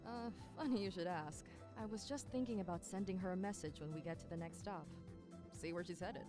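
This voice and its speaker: A woman acting a wry, low-voiced young spacefarer. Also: brisk, lightly acted. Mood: warm, casual. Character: protective, teasing young woman, loyal best friend aboard spaceship. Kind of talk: visual novel game voice acting. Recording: background music under the voice.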